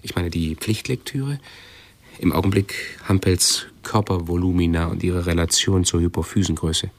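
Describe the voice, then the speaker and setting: A pedantic, self-absorbed nephew in a radio drama. The voice is low, husky and full of fry. He speaks haltingly and anxiously, forever correcting himself. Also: dry recording.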